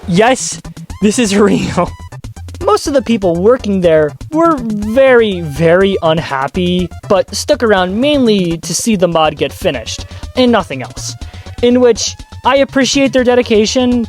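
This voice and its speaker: A commentator giving casual, scripted video commentary with dry, sardonic asides. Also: mid-range voice. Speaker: host of a video commentary channel.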